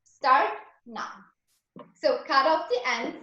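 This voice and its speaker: A woman's voice: high voice, expressive, slow, pushed and bright, very echoey, highs cut off, a little hiss or hum. A woman from Serbia with a Serbian accent, a raw vegan chef guiding a cooking class in an earnest, encouraging way. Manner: earnest, encouraging, gives step-by-step instructions.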